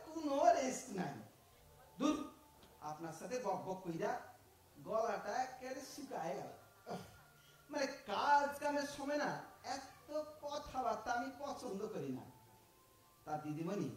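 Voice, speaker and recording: A man's voice: extremely high pitch, full character voice. A man playing a cocky rustic village thief. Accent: East Bengal dialect. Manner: sly, cocky, rustic drawl. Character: cheeky small-time village thief, male, middle-aged. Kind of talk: radio play acting. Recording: echoey room, far from mic.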